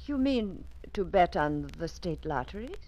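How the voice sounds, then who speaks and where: A woman's voice: on the low side, very animated, unhurried, darkish tone. An actress playing a vain, aging Parisian widow who grieves her lost beauty, in theatrical old radio-drama style. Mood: curious. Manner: theatrical, breathy sighs, swings between despair and command. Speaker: lead actress.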